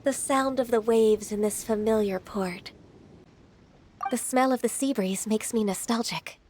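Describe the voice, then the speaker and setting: A gentle young immortal maiden from an anime game, voiced by a woman, fairly high in pitch. She is formal, earnest and a little hesitant, with some noise behind her. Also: steady tone, natural voice. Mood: wistful. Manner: polite, measured, hesitant. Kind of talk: anime-style video game acting.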